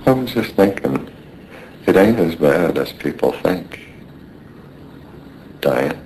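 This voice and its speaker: A weary dying sailor in a radio play, with a very deep, slow, creaking voice. He is weak and hurting, stoic, and talks in rough seaman's slang, with some noise on the recording. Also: lively intonation, a little mellow, exaggerated voice, poor audio. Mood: resigned weary. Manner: rough uneducated sailor speech. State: weak, in pain. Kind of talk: radio drama acting.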